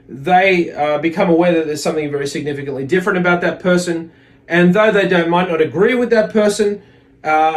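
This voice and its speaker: Higher-pitched man teaching a religious lesson. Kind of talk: religious lesson, reading a text aloud with commentary.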